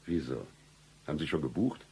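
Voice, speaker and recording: An actor playing a broke, seedy private detective in a satirical radio play, with florid flirtatious patter and deadpan humour. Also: male voice, a bass voice, moderate pace, creaky, slight background noise, heavily acted. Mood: deadpan, wry.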